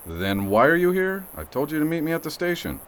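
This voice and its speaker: A man's voice: fairly high pitch, big pitch swings, fairly quick, a bit bright, slight background noise. A man playing a kindly, self-deprecating sheriff in an audio drama, with a wry, sarcastic way of talking. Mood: startled, annoyed. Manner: wry, sarcastic, mumbled asides.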